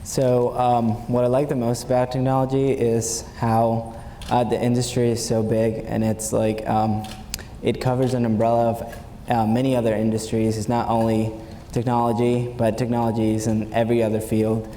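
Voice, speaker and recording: A man's voice: mid-range voice, completely flat delivery, normal speed, dark tone. A young man from El Salvador, a student panelist, giving plain, brief answers. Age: young adult. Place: event stage in a large hall. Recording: roomy hall with audience, faint noise.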